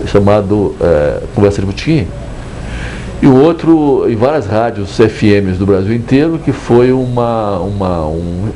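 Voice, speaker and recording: An older Brazilian man, an author speaking reflectively in a measured, digressive way with hesitant restarts. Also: lowish voice, dark tone, slight background noise, rough recording. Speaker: book author. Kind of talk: reflective interview monologue.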